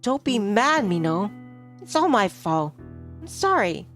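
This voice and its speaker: A woman's voice: medium pitch, very animated, a little slow. A woman playing a flustered, apologetic young female office worker who stammers hesitantly. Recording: music behind the voice.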